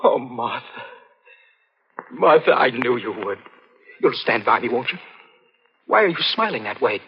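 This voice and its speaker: An actor playing a tormented, desperate young murderer in melodramatic 1940s radio suspense style, with a stammering, fervent delivery. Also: male voice, mid-range voice, big pitch swings, measured pace, husky, full character voice. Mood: desperate pleading. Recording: muffled, noisy old radio broadcast.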